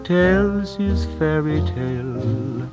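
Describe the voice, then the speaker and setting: Casting: male ballad crooner, fairly high voice, very slow phrasing, dull vintage recording with guitar accompaniment. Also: animated. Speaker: singer.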